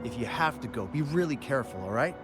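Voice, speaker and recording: A man's voice: medium pitch, animated, natural voice. An actor playing a nervous, talkative young male miner recounting what he saw. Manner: talkative, jumpy, slows down when describing monsters. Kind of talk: game cutscene dialogue. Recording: game music underneath. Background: music.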